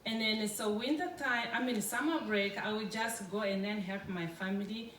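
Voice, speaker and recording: A middle-aged woman from Congo whose first language is French, speaking with a French-influenced accent and giving a hesitant public talk full of pauses. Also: medium pitch, fairly bright tone, slight background noise. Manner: hesitant, halting, many pauses and fillers. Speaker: food entrepreneur speaker. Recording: roomy, distant from the mic, faint background ambience.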